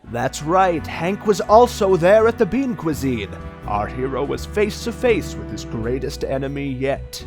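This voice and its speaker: Man as a lively, melodramatic higher-voiced narrator. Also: measured pace, music in the background. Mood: dramatic. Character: wry, melodramatic storytelling narrator commenting on the hero. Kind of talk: dramatic comic narration.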